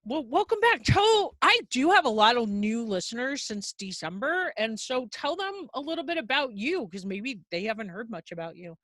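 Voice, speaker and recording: A woman hosts a gardening podcast interview, talking in a loose, rambling way full of filler words. Her voice sits fairly high, and the sound is dry and close. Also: very animated, normal speed, hardly any pauses, fairly bright tone, no room sound. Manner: rambling, chatty, many "like" fillers. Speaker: podcast host. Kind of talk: casual interview chat.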